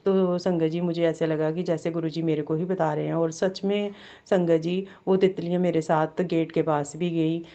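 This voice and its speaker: A woman with a sore throat sharing a devotional testimony, halting with many fillers and repeated blessings. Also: low voice, little pitch movement, mellow. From Jammu.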